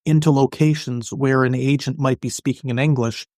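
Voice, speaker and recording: A man giving explanatory interview answers as an expert podcast guest, articulate, measured and businesslike. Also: medium pitch, steady tone, darkish tone, dry recording, studio quality. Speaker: podcast guest, industry analyst.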